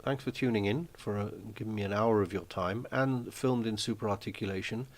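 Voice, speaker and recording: A man hosting a live stream from his small flat, chatting casually and rambling with lots of ums and restarts. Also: on the low side, a little fast. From Wales.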